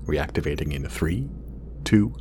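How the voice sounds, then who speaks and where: A man's voice: extremely low pitch, expressive, a little slow, warm tone, slight background noise. An actor playing an irritable, obsessive scientist muttering hesitantly to himself and his drone in an audio drama. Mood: businesslike. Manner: muttering, self-reassuring, hesitant, swearing.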